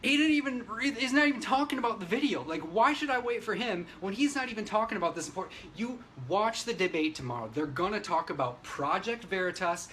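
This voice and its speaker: A man's voice: high voice, lively intonation, fairly quick, hardly any pauses, sharp tone. A man ranting unscripted on a livestream, brash, opinionated and emphatic, swearing freely as he talks to his viewers. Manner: emphatic, agitated delivery. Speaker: livestreamer giving political commentary. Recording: noisy livestream audio.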